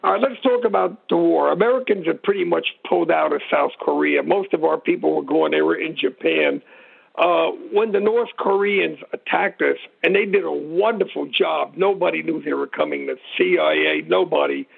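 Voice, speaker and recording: A man with a gravelly, husky voice explaining history informally as an interview guest, rambling and digressing as he tells it. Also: fairly high pitch, normal speed. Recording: muffled narrow phone-like line.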